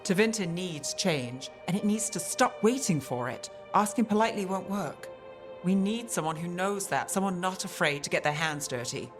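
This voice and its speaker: A woman's voice: lowish voice, expressive, moderate pace, close to an everyday voice. A woman playing a hard-nosed, cynical detective in a video game, terse and matter-of-fact. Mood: firm. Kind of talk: video game character dialogue. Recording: music clearly behind the voice.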